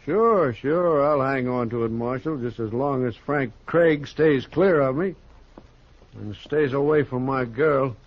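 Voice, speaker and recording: A man plays a hot-headed young rancher in a vintage radio western. He is stubborn and defiant, with a medium-pitched voice. The sound is dull and boxy, like an old broadcast. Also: a little slow, close to an everyday voice. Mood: angry, defiant. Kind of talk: 1950s radio acting. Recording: muffled old radio recording.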